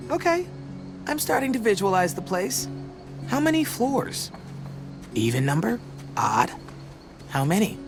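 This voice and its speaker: A man's voice: high voice, very animated, unhurried, pauses often, full character voice. A man playing a wisecracking, dramatic teenage boy survivor in a video game, with an American accent and a playfully theatrical delivery. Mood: excited, joking. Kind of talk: video game voice acting, casual dialogue. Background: background music.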